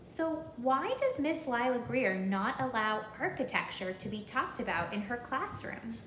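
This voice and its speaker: A woman with a fairly high, very animated voice reads a children's storybook aloud. She takes her time, deliberate and rhythmic, as if guiding young listeners. The recording is roomy and echoing. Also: slight background noise. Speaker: storybook reader.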